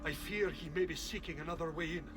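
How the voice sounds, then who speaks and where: An actor playing a worried Florentine soldier giving urgent reports in a video game. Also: male voice, a little high, fast talker, music in the background, natural voice. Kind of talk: dramatic video game acting.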